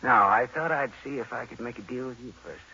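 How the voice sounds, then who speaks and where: An actor playing a deadpan, wisecracking private detective who narrates his case in old-time radio noir style. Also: mid-range voice, a little fast, creaky, slightly rough, exaggerated voice. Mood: businesslike. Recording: dull vintage radio recording with music.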